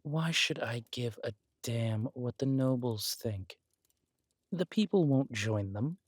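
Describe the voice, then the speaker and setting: Man acting a slow, husky, sly villain king. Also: mid-range voice, expressive, no echo, clean studio sound. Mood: scornful, stubborn. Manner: teasing, haughty, deliberate with drawn-out words. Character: villainous conqueror king, sly, smug, flirtatious husband. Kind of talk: dramatic romantic roleplay audio.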